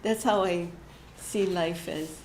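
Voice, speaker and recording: A woman giving a short inspirational church message, gentle and halting, often laughing at herself. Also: lowish voice, steady tone. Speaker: church speaker. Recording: echoey hall with faint room noise.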